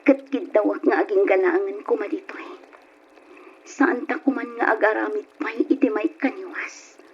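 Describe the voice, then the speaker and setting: An Ilocano woman acting a self-sacrificing young woman torn by forbidden love in a radio drama, pleading in a breathy, emotional way. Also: mid-range voice, moderate pace, natural voice. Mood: distressed pleading. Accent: Filipino, Ilocano. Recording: muffled, noisy old radio drama recording.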